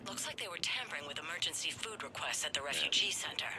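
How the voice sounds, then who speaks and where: A man's voice: a little high, animated, fast. An actor playing a businesslike male military aide in a sci-fi game, reporting in an understated, matter-of-fact way. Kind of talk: video game voice acting. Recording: clear ambient noise.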